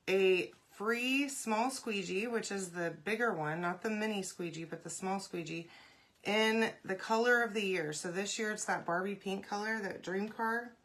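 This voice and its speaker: A woman hosting a casual craft live stream, congested and often clearing her throat, chatting and greeting viewers by name. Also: mid-range voice, a bit bright.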